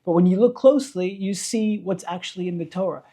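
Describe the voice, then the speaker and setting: A man's voice: high-pitched, fairly quick, warm tone. A man giving an informal Torah lesson to camera as a rabbi, explaining emphatically with repeated phrases and rhetorical questions. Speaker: rabbi and teacher.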